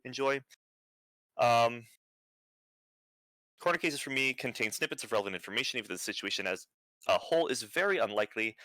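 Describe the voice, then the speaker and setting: A young man presenting at an online conference, talking in a flat, near-monotone way with many fillers and restarts. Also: on the low side, no room sound.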